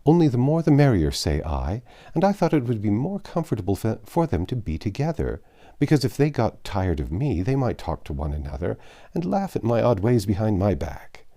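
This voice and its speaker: A man's voice: lowish voice, sing-song, normal speed, dark tone, voice pushed up. A man voicing a bustling, talkative older widow who chatters effusively.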